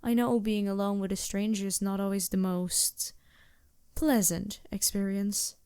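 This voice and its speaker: A woman's voice: mid-range voice, little pitch movement, measured pace, mellow, clean tone, no room sound, studio quality, close to an everyday voice. A woman playing a polite, anxious vampire posing as a traveling merchant in a fantasy audio roleplay, speaking hesitantly with small stammers and courteous apologies. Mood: grateful, polite. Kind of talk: fantasy roleplay audio drama, addressing the listener.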